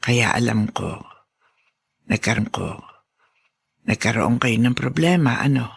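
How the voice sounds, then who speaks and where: Animated, concerned Filipino male friend. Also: mid-range voice, unhurried, gravelly, breathy edge, heavily acted. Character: concerned, inquisitive male friend of an old man. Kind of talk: Filipino radio drama acting.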